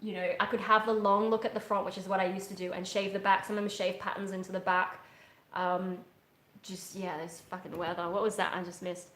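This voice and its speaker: A woman's voice: mid-range voice, level tone, brisk, fairly bright tone. A young woman talks to her livestream viewers from a couch in her living room. She rambles and restarts often, with frequent ums, and her voice carries the echo of a roomy room picked up from a webcam a little way off. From Australia. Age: young adult. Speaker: livestream host, metal singer. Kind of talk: casual live chat. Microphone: webcam at a distance.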